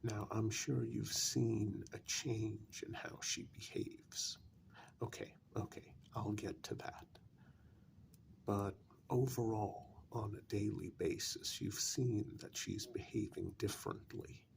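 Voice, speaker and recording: Casting: male performer as a patient, apologetic confidant in a gothic vampire role-play, low voice full of heavy fry, hushed delivery. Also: fairly even intonation, slight background noise, exaggerated voice. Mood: grave, explanatory. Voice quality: soft, breathy, gravelly. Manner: slow, measured, patient, with long pauses. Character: calm, apologetic male confidant explaining a vampire curse in an old castle. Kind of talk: soft-spoken ASMR role-play.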